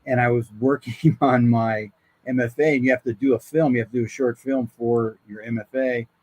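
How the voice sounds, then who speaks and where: A man's voice: mid-range voice, darkish tone, slightly rough, dull audio. An older American man, a voice actor appearing as a guest, tells casual anecdotes and acts out the quoted dialogue in them.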